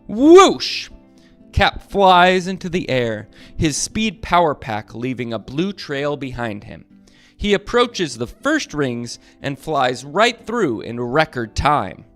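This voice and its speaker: A man reading a superhero picture book aloud to children, animated and making sound effects. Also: a little high. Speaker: storyteller reading to kids.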